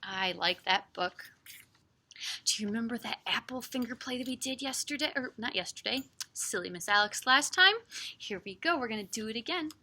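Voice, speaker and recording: Bright-voiced woman leading children's story time. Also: fairly high pitch. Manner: animated, sing-song, encouraging, with dramatic emphasis. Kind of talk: expressive read-aloud and chat for young children.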